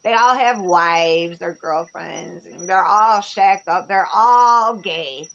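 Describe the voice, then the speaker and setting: A woman's voice: fairly low pitch, slow, creaky, fairly bright tone, lo-fi sound, a little hiss or hum. A woman chatting candidly and casually, telling stories in blunt, profane slang. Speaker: talk show panelist recounting prison life.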